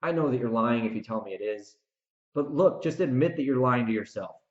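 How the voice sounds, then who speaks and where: A man's voice: medium pitch, brisk. An American man hosting a solo podcast, ranting casually and emphatically, speaking straight to the listener with strong opinions. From United States. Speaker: podcast host and author. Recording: dull, echoey room recording.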